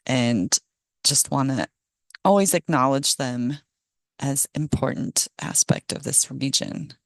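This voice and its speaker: A middle-aged woman, hoarse from a cold, giving a casual presentation on a video call with many ums and small laughs. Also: a bass voice, slow pace, dry recording. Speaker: guest speaker, ecologist. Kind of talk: online meeting presentation.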